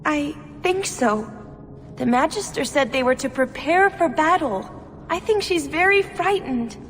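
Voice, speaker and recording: An actress playing a timid, innocent young servant slave in a video game, meek and naive in manner. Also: female voice, high-pitched, expressive, unhurried, a bit bright. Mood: distraught, shaken. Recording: long echoing reverb, music underneath.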